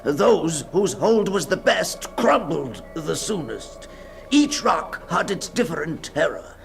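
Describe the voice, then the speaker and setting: An actor playing a terrified, desperate climber in a theatrical, halting radio monologue from the 1960s. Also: male voice, on the high side, very animated, vocal fry, soft background music, heavily acted. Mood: haunted dread. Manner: theatrical, halting, gasping, lurching between whispers and cries. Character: terrified, desperate male climber narrating his nightmare escape. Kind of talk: 1960s radio dramatic monologue of a fantasy tale. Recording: noisy 1960s radio recording.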